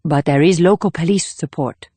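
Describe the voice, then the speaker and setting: A woman playing a cool, controlled female assassin with a formal, measured delivery in an audiobook drama. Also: low-pitched, warm tone, husky, dry recording. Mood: cool, confident. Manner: measured, formal, clipped short statements. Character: cool, controlled, steely female North Korean assassin leading a mission.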